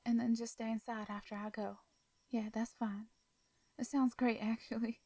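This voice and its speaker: A woman playing a caring, protective young female farmer in a roleplay audio drama, with a Southern US accent and a soft, hesitant, folksy way of talking. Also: fairly high pitch, flat delivery, normal speed, dry recording. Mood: friendly, reassuring.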